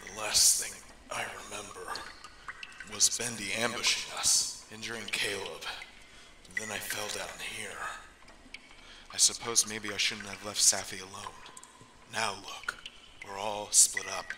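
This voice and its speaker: A man as a weary, slow-talking father. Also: fairly low pitch, little pitch movement, close to an everyday voice. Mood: weary regret. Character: weary, worried father lost in a ruined studio. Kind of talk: amateur comic dub acting. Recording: loud background noise.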